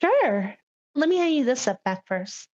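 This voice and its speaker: A woman's voice: sits high, very animated, a little slow, a little mellow. A woman chatting casually as a player in a tabletop game, sometimes speaking as her character, on a slightly lo-fi mic.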